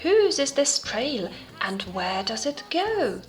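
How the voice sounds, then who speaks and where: A woman plays a little girl monster from a children's storybook, high and excitable. The voice is curious and pleading, swooping through its questions over soft music. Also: slow, full character voice. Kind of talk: storybook read-aloud for children.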